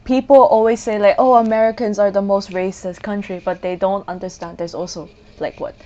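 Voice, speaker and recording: Animated young woman, muffled lo-fi audio. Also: medium pitch, brisk. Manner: animated, laughs often. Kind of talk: casual conversation.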